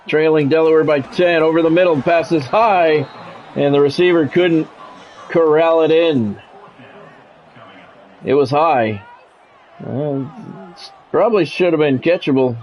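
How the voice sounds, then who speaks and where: Higher-pitched man calling a game. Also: muffled recording. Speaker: game streamer doing play-by-play. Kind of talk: sports commentary mixed with casual chat with viewers.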